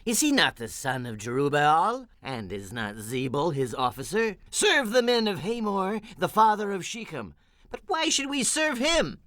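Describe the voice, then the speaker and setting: An actor playing a boastful, rebellious rabble-rouser of biblical times, scornful and swaggering, in a radio theatre Bible drama. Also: male voice, high voice, sing-song, normal speed, a bit bright, no echo, clean studio sound. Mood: scornful boasting.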